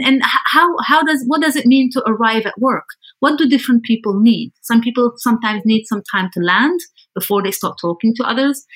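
A woman's voice: medium pitch, a bit bright, rough recording. A woman from Lebanon speaking as an architect guest in an interview, thoughtful and conversational, feeling out her phrasing as she goes.

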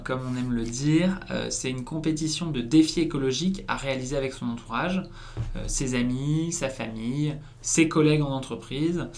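A man's voice: mid-range voice, a little mellow, slight background noise. A man in his twenties from northern France, a guest telling his story in a chatty way with many fillers and restarts. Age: 20s. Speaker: guest, founder. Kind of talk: casual interview.

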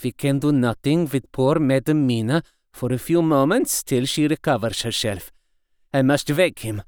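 A man voicing a solemn, reassuring professor in a gothic audiobook, measured and in command. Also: mid-range voice, a little mellow, clean tone, dry recording, studio quality, natural voice. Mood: grave. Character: calm, commanding male professor, reassuring vampire expert.

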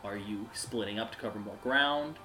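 Guy running a tabletop rpg, really sharp bright voice, halting and chatty, music underneath. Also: on the low side, reverberant. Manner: halting, conversational. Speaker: game master. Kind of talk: improvised tabletop game narration.

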